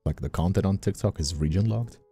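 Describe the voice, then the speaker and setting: A man's voice: sits low, a little fast, mellow, faint music behind. A man from Brazil, a native Portuguese speaker, chatting casually as a livestream host in a rambling way. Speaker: streamer and voice actor, hosting.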